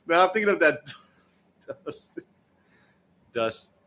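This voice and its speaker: A man's voice: on the high side, lively intonation, slow pace, sharp tone. A young man chatting casually on a livestream, rambling with lots of restarts and frequent laughs. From United States. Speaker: streamer.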